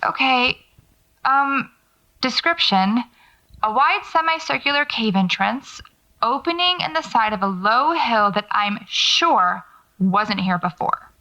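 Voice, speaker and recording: A woman's voice: a little high, expressive, takes it slowly, pushed and bright, full character voice, low-quality recording. A woman acting as a wry, stubborn paranormal investigator in an audio drama, talking to herself as she records field notes. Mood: wary, focused. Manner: muttering to herself, sardonic, self-coaching.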